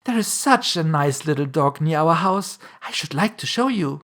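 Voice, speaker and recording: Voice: medium pitch, animated, unhurried, a bit bright, voice pushed up. A narrator voicing a polite, eager little storybook girl who talks in an earnest, chatty, apologetic way. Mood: eager chatter.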